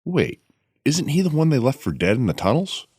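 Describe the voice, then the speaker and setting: An actor playing a protective, cautious friend in an audio drama, plain-spoken and grumbling. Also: male voice, medium pitch, sing-song, normal speed, a little mellow, slightly rough, no echo, studio quality. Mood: wary grumbling.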